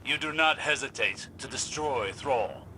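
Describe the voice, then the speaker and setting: Grave, sharp-voiced lore-keeper. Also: male voice, mid-range voice, lively intonation, a little hiss or hum, poor audio. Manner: slow, grave, deliberate. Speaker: game character. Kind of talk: video game dialogue.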